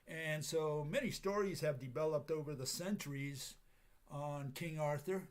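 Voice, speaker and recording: A man with a raspy, hoarse voice from a cold, giving a casual lecture with many pauses and fillers. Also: a little high. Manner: halting, many fillers, chuckles at himself.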